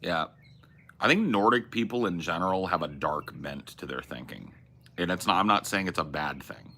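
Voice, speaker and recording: A man's voice: deep voice, animated, moderate pace, bright, forceful tone. A man hosting a casual livestream, rambling and swearing as he reads chat messages and muses aloud, often restarting his sentences. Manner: rambling, profane, restarts and self-corrections. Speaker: streamer host.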